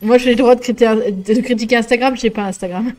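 A woman's voice: a little high, steady tone, fast talker, darkish tone, soft background music. Teasing young woman, joking banter. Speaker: stream co-host. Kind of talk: casual chat.

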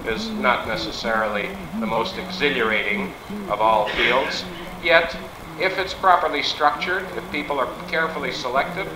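A man giving a measured, articulate speech at a business meeting, on 1960s reel-to-reel tape. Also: medium pitch, reverberant, background noise. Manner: measured, articulate, formal wording. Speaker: business speaker. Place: meeting room.

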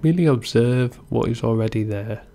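A man gently guiding a meditation as an instructor, in an unhurried way with pauses between instructions. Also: mid-range voice, vocal fry. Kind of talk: guided body scan meditation narration.

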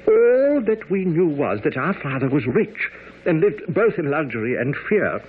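An actor playing a fretful, nervous little gentleman hypochondriac in a radio drama, stammering and fussing. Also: a little high, slightly rough. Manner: stammering, fussy, nervous chuckling. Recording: muffled old radio broadcast.

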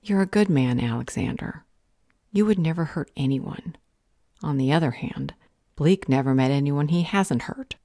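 Woman playing a low, mellow motherly vampire healer. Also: moderate pace, dry recording, clean studio sound. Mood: tender, earnest. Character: protective motherly older female vampire healer, calm, caring mentor. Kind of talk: audio roleplay acting.

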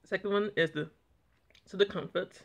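A young man talking plainly and a little haltingly as he reviews eggnog on a home vlog, filmed by a camera on the table in a small room. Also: high voice, fairly even intonation. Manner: plain, unhurried, slightly halting talk. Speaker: product reviewer. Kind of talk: casual taste-test vlog. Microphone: camera on table in front.

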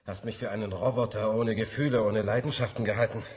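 A man's voice: lowish voice, steady tone, fast talker, natural voice. An actor playing a vengeful, gloating male mad scientist in a radio drama, mocking and theatrical. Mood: bitter, grandiose. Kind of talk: German radio drama acting.

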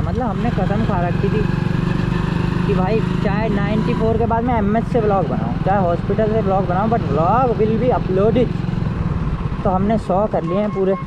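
A young man talks casually into a helmet camera while riding a motorcycle outdoors, chatty and teasing his viewers. His voice sits high. The sound is muffled, with loud engine and wind noise behind him. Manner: chatty, joking, addresses viewers as brother. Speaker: motovlogger. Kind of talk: casual motorcycle vlog chat. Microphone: helmet-mounted action camera mic.

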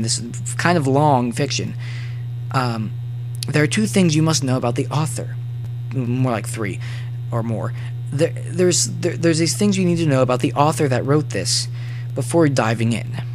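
Young adult male, medium-pitched voice, casual commentary, halting, dramatic emphasis, repeated words, clear background noise. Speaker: fan fiction reader and commentator.

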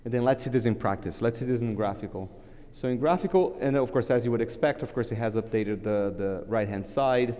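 A man in his thirties, a native Portuguese speaker, giving a relaxed live technical conference talk at a lectern, with many fillers and restarts. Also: mid-range voice, brisk, big-room sound. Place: large conference hall, at a lectern.

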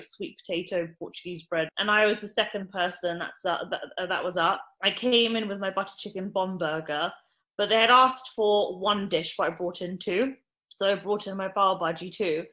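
Woman, 30s, restaurant-owner guest. Bright tone, animated storytelling, loose with fillers. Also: medium pitch, normal speed. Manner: animated anecdote-telling with many fillers. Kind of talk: podcast interview storytelling.

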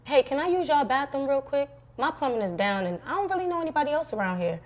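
An actress playing a chatty, brazen young neighbor in a naturalistic comedy skit, with an American accent. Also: female voice, high voice, animated, quick pace. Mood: friendly.

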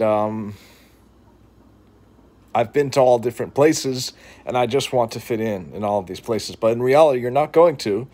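Man, New England accent, earnest, halting, phone at arm's length, living room couch. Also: mid-range voice, darkish tone. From New England, Boston. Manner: earnest, halting, many restarts and fillers. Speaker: vlogger. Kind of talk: reflective talk to camera. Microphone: phone camera at arm's length.

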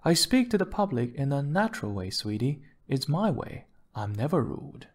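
A man voicing a wealthy, glamorous wife who is breezy, touchy and charming, gushing and quick to defend herself in an audiobook story. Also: medium pitch, sing-song, pitched above the performer's own voice. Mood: defensive. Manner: gushing, defensive, pet names for husband.

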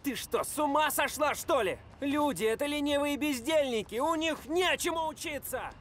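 Shrill, fussy gnome. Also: male voice, normal speed, fairly bright tone, faint music behind. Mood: indignant. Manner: indignant, agitated, exclaiming. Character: fussy, panicky male gnome rule enforcer, scornful of humans. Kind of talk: animated film dubbing.

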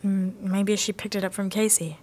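A woman's voice: mid-range voice, little pitch movement, normal speed, darkish tone, natural voice. A young woman acting as a frank female college student in an audio drama. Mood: friendly, frank. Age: young adult. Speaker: student actor.

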